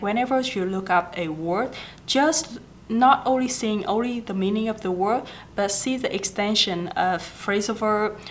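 Young woman, Vietnamese accent, chatty advice, fillers and restarts, faint rumble in the room. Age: 20s. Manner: chatty, gestures often, frequent fillers and self-corrections. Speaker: vlogger giving language-learning tips. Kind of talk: casual talking-head advice. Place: small indoor office with a plant.

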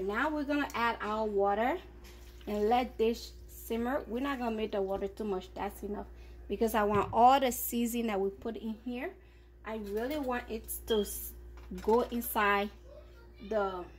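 A woman's voice: on the high side, a little hiss or hum. A woman chatting casually to her viewers while she cooks in her home kitchen, upbeat and talkative. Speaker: cooking vlogger. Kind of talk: casual cooking chat.

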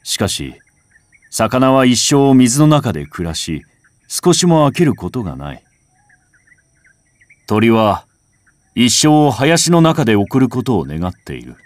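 A man reciting a literary first-person text as a scripted narration, in a measured, contemplative way with dramatic phrasing. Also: medium pitch, very animated, no room sound. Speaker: audiobook reader. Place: home recording.

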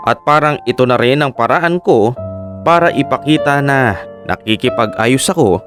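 A man's voice: mid-range voice, moderate pace, over music, lightly acted. A man playing a fisherman husband and father who speaks hesitantly in a radio drama. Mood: reflective. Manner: hesitant, stammering. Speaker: voice actor. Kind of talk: radio drama acting and narration.

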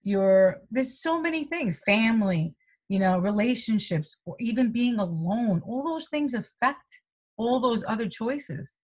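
A woman's voice: fairly high pitch, unhurried. A middle-aged woman from the United States, a health coach being interviewed over a video call at home, rambling along with many fillers. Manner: rambling, lively, many "you know" fillers, frequent laughs. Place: small room at home, indoors. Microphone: webcam on a video call.

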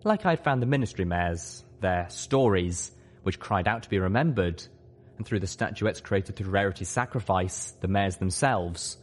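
Low-voiced man narrating fiction. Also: lively intonation, normal speed. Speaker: audiobook narrator. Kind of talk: dramatic scripted fiction narration.

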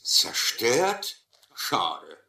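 Give a probing old seaman in a radio drama. His voice is bright and gravelly, with lively swings in pitch. The sound is a little roomy. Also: drawn-out words, full character voice. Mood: testing, sly. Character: probing old male seaman testing a youngster's tall tales. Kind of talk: German radio drama acting.

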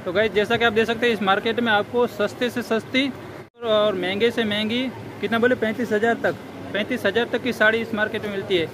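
A young man giving a casual walking vlog in a halting, repetitive way, recorded on a phone at arm's length. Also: high-pitched, steady tone. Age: young adult. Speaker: vlogger. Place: busy outdoor street and crowded covered market. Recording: loud street and market noise.

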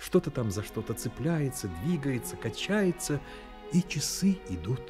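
A man narrating a radio play as a grave storyteller, in a measured, dramatic way. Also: mid-range voice, animated, over music, lightly acted. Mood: grave, reflective.

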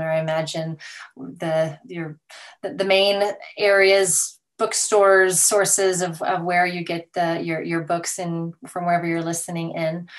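A woman with a slightly low voice hosts a conversational podcast interview. She speaks haltingly, searching for words as she goes.

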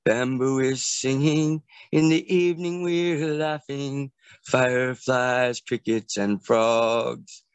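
A middle-aged man recites his own lyrics in a lilting, half-sung way, unhurried and drawn out. His voice is smooth and resonant. He is recorded outdoors in a garden on a video call, and the sound is dry and close. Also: mid-range voice, steady tone, no room sound. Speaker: performer at an open mic. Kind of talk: slow recited song lyrics. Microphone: video call from a handheld device.

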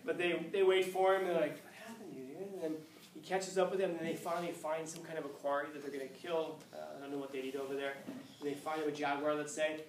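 A man in his fifties, a high school teacher lecturing a class, acting out scenes with playful voices. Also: steady tone, quick pace, crowd noise. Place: classroom, indoors. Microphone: far camera. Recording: echoey classroom from far off.

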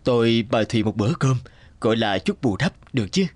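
A man playing a smooth, insistent middle-aged company boss in a cartoon, suave and authoritative. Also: mid-range voice, animated, fast talker, no room sound, lightly acted. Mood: flattering.

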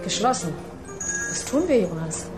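Actress as lively, hesitant detective's sidekick. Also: female voice, medium pitch, normal speed, music in the background, close to an everyday voice. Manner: hesitant, full of questions. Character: Wall, timid, questioning female detective's sidekick. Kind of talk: radio play acting.

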